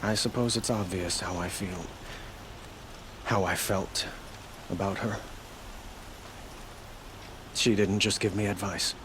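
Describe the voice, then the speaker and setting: An actor playing a gracious, grieving young king in a video game cutscene, speaking in a formal, measured, earnest way. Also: low voice, fairly even intonation, background noise. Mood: wistful, regretful.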